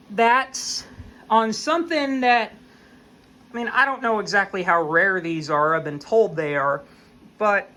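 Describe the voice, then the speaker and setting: A folksy American man talks through a hobby topic off the cuff. His voice is bright and forward, with plain-spoken, casual phrasing. Faint noise sits behind him. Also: high voice. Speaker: hobbyist video host. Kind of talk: casual unscripted explainer.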